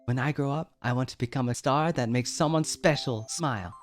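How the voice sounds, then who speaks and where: A hopeful little star creature with a dreamy way of talking, as a man reads it in a near-natural voice, soft music underneath. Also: medium pitch, fairly quick, natural voice.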